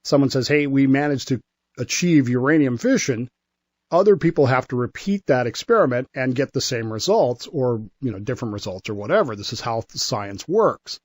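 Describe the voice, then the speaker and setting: A man's voice: mid-range voice, lively intonation, moderate pace, no room sound. Dry-sounding man telling history conversationally. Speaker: regular history commentator on a radio talk show.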